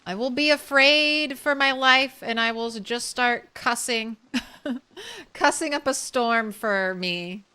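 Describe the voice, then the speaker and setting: A woman streamer chatting softly and casually with her viewers while playing a game, thanking them as she goes. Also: fairly high pitch, takes it slowly, clear, resonant tone. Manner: soft, relaxed, thanks viewers. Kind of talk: casual live-stream chat while gaming.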